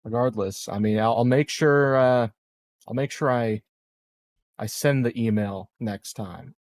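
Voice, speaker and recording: A man's voice: mid-range voice, unhurried, darkish tone, no room sound. A man playing a tabletop roleplaying game, improvising casual in-character dialogue, hesitant, repeating words and trailing off.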